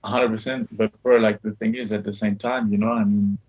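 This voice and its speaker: A man's voice: fairly low pitch, level tone, fairly quick, a little mellow, dull audio. A man in his thirties with a faint South African accent, a podcast guest telling his story candidly and swearing freely. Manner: candid, swears freely, repeats "you know what I mean". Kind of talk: casual interview storytelling.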